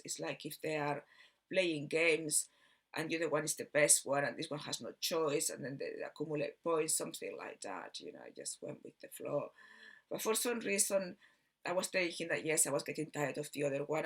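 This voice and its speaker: A woman from Barcelona with a Spanish accent tells a rambling personal story in a halting way, repeating words and trailing off. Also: low-pitched, a bit bright. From Barcelona, Spain. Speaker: video host telling personal story.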